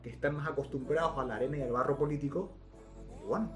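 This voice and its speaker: A man's voice: on the high side, fast. A man with an Argentine accent giving colloquial, opinionated political commentary as co-host of a podcast. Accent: Argentine Spanish. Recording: music playing behind. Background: background music.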